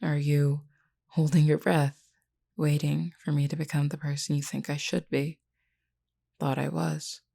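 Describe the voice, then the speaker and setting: A woman playing a flirty, frank, self-assured werewolf in an intimate, unhurried audio roleplay spoken to the listener. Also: little pitch movement, full character voice. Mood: probing, teasing. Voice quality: low, creaky, mellow. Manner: unhurried, teasing yet earnest, deliberate.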